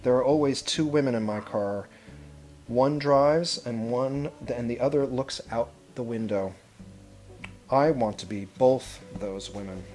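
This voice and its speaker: A man's voice: medium pitch, measured pace, darkish tone. Man reading a storybook aloud over music. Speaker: head of school reading a picture book to children. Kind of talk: storybook read-aloud with brief asides.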